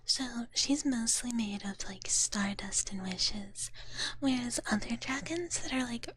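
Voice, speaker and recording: A woman livestreamer whispering softly and breathily as she chats casually with viewers in an ASMR style. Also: on the high side, husky, rough recording. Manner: soft, casual, refers to herself in third person.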